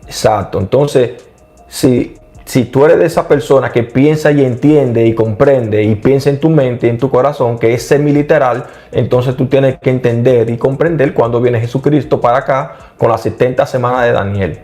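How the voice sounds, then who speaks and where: An earnest middle-aged man explaining scripture in a casual talk, at an ordinary mid pitch. He stumbles and restarts as he goes and readily agrees with his partner. Faint music sits underneath. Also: normal speed, mellow. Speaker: co-host of a Bible study channel. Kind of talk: casual religious discussion.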